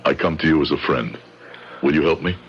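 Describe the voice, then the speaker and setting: Very deep-voiced, grieving, vengeful immigrant father. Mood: grimly determined. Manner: solemn, deliberate, formal phrasing. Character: grieving immigrant father, shoe repairman, bitter and bent on revenge. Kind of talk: old-time radio drama acting. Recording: dull, noisy old radio broadcast.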